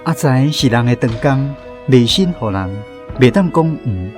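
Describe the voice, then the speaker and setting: A man's voice: medium pitch, lively intonation, unhurried, over music. A man with a Taiwanese accent narrating a radio drama in a dramatic storytelling style.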